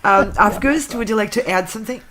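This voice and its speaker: A woman whose first language is Russian, moderating a music jury, giving gracious feedback with many pauses and fillers. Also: mid-range voice, a bit bright. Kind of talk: panel feedback and announcing.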